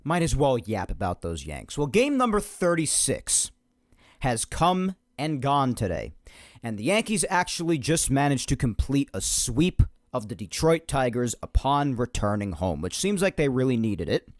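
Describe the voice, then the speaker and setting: Young new guy rambling on his podcast, a bit hoarse, mid-pitched voice, super dry close sound. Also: normal speed, no echo. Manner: chatty, energetic, rambling. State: slightly hoarse. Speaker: podcast host. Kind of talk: solo sports podcast chat.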